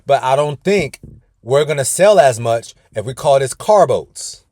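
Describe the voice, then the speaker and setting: A man's voice: mid-range voice, expressive, a little slow, darkish tone, no room sound, studio quality. Casual man coaching a group call. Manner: casual, folksy, often addresses the group as "y'all". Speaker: weight-loss coach. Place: inside his parked car.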